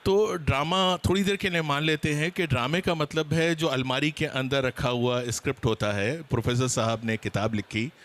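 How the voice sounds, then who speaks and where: Male seminar panelist, slightly high voice, explanatory address to an audience. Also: fairly even intonation, normal speed, few pauses, fairly bright tone.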